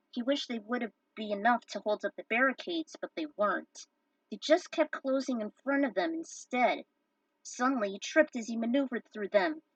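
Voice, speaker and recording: A woman's voice: medium pitch, fairly bright tone, dry recording, distant mic, poor audio. A woman reading story narration, with casual, grumbling asides. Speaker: narrator reading own fan fiction over game cutscenes.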